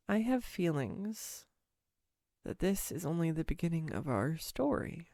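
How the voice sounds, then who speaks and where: Woman acting as smitten awkward girl on a date, unhurried, gravelly fry, tender, playful, hesitant, romantic roleplay. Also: lowish voice, darkish tone, full character voice. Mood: playful, happy. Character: clumsy, sweetly awkward young woman smitten on a first date. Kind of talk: romantic audio roleplay addressed to the listener. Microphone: close USB microphone, dry room.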